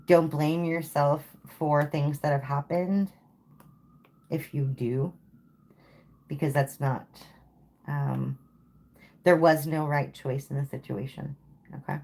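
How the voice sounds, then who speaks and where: A woman's voice: deep voice, steady tone, slow, vocal fry, long pauses, a little mellow. A woman giving a live tarot reading to viewers, casual and chatty, tired and saying she is losing her voice. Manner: casual, repeats "okay?" and "like". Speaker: tarot reader.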